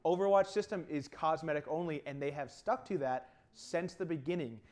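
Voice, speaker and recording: A man in his twenties co-hosting an animated video game discussion, explaining things in an opinionated way. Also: on the high side, moderate pace. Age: 20s. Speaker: talk show co-host. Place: indoor TV studio.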